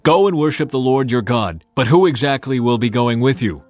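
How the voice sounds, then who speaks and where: A man's voice: medium pitch, animated, moderate pace, a little mellow, dry recording, faint music behind, lightly acted. A man voicing a stubborn, haughty ancient king with an imperious manner in a scripture audiobook. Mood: grudging. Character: Pharaoh, stubborn, haughty male Egyptian king.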